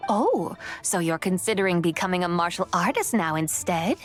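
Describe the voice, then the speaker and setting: A woman's voice: lowish voice, expressive, moderate pace, lightly acted. A woman voicing an aloof, playful ancient goddess with a regal air and formal, stately phrasing in a video game. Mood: curious, imperious. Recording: faint music behind the voice.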